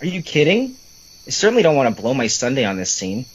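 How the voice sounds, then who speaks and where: Casting: male actor as sarcastic, complaining brother for horror audio drama, very lively pitch swings. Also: fast talker, rough recording. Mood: grumbling. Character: teasing, grumbling adult brother, sarcastic and irreverent.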